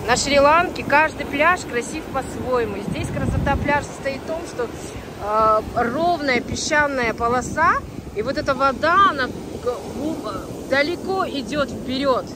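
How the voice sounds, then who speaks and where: A woman's voice: high voice, measured pace. A woman giving casual, admiring and exclamatory travel vlog commentary outdoors. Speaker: travel vlogger. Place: outdoors, on a windy ocean beach. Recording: loud wind and surf noise.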